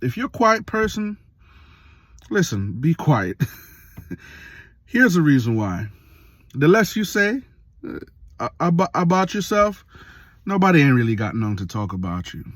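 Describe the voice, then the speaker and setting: Slow-talking man from Jamaica. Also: on the high side, big pitch swings, fairly bright tone, dry recording, clean studio sound. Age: 30s. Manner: slow, pausing often with fillers. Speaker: vlogger. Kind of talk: casual reflective monologue.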